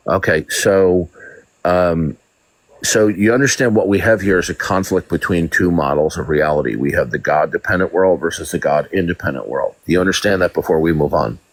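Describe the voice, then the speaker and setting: A man's voice: low voice, moderate pace, no room sound. A man hosting a live call-in debate, domineering and mocking, often stammering on repeated words. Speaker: live-stream debate host.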